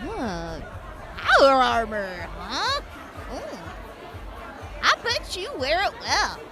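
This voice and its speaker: A woman's voice: high voice, huge pitch swings, slow pace, music in the background, a crowd in the background, exaggerated voice. A woman playing a flirty, tipsy medic. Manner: teasing, flirtatious.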